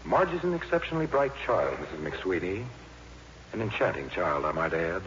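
An actor playing an earnest, bashful young teacher, halting and polite, in 1950s radio style. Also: male voice, sits low, sing-song, a bit bright. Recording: muffled, noisy old radio recording.

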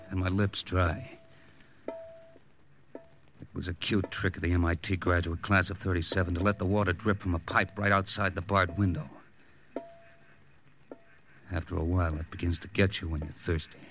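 A man's voice: deep voice, a bit bright. A man acting a tough, sardonic young American agent in an old radio drama, clipped and wry. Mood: brooding. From United States. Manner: hard-boiled, clipped, wry. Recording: old radio broadcast recording, organ music.

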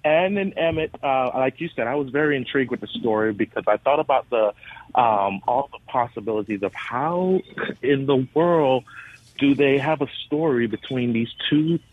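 A man's voice: medium pitch, lively intonation, moderate pace, dry recording. A man giving a spontaneous, informal interview over the telephone as a guest, feeling his way through his answers. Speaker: theater education director, interview guest. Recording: dull, noisy phone line.

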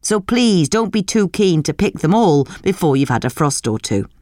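A woman with a British accent narrating a scripted gardening article in a measured, clearly enunciated way. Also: on the low side, sing-song, mellow. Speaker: narrator reading a magazine article aloud.

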